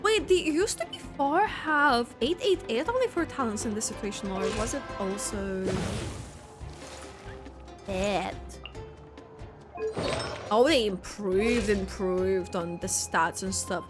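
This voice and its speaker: A woman's voice: medium pitch, lively intonation, takes it slowly, long pauses, music in the background. A woman streamer giving live game reaction commentary, thinking aloud with frequent exclamations.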